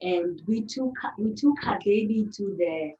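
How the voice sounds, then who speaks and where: A woman's voice: mid-range voice, lively intonation, measured pace, dark tone. A woman reflectively sharing a personal account in a panel discussion, choosing her words carefully. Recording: roomy, distant, dull call audio.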